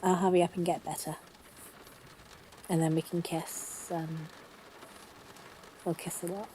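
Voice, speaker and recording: A woman plays a smitten, under-the-weather sweetheart in an intimate audio roleplay. Her voice is soft-edged and mellow, on the low side, and she speaks slowly. She is grumpy but tender, flustered and gushing as she confides in her partner. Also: little pitch movement, slight background noise, lightly acted. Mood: giddy, smitten. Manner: flustered, gushing, halting, sighing and giggly.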